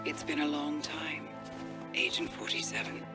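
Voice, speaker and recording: A woman playing a poised, calculating intelligence handler in a video game, speaking in a measured, formal and cutting way. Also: deep voice, lightly acted. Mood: quiet, knowing. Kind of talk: scripted video game acting. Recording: long reverb, music underneath.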